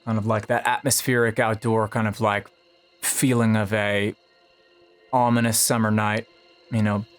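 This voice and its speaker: A man who is a musician, casually and haltingly telling how a song was made, in a rambling conversational way. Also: fairly low pitch, little pitch movement. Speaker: musician, singer-songwriter. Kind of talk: casual storytelling about making a song. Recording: music playing behind the voice.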